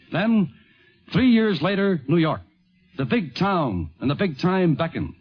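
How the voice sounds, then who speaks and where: A man with a fairly high voice narrates a scripted radio documentary in 1950s fashion. The recording is muffled and old, with music behind him.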